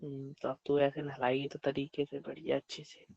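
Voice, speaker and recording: Dull phone-call recording, flirty even-toned man. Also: mid-range voice, a little fast, creaky, dry recording. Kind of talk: flirtatious casual phone chat.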